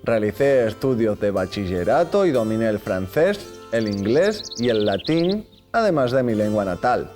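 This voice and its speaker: A man's voice: medium pitch, darkish tone. A man playing a dignified old novelist. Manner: formal, measured storytelling. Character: elderly dignified Spanish novelist, courteous. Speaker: actor playing a historical writer. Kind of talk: scripted educational drama.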